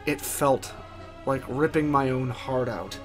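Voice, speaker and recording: Slow, theatrical man narrating horror. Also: medium pitch. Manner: theatrical, deliberate pauses. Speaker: writer and narrator. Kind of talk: dramatic first-person horror story narration. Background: music.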